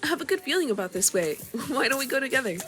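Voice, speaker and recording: A woman voicing a friendly, busy young guild master who welcomes a new hire in a warm, slightly bossy way. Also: high voice, very animated, fast. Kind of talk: scripted voice drama. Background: faint background music.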